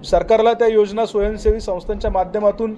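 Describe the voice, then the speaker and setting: A man's voice: high voice, steady tone, quick pace, mellow. A man with a Maharashtrian Marathi accent, a campaign leader, makes an earnest, rhetorical statement phrase by phrase. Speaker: patients' rights campaign leader. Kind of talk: spoken appeal in a recorded news statement. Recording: faint background noise.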